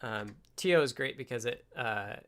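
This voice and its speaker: A man hosting a casual live coding stream, talking haltingly and half muttering to himself as he works. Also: medium pitch, fairly bright tone. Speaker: livestream host, programmer. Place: indoors at a desk with computer.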